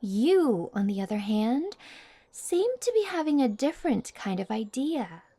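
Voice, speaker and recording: A woman acts out a smug, obsessive high school queen bee in an ASMR roleplay. Her voice is high and swings animatedly as she taunts the listener. She is condescending and boastful, drawing out her teasing. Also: very animated, measured pace, dark tone, exaggerated voice. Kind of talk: intimate ASMR roleplay monologue addressed to listener.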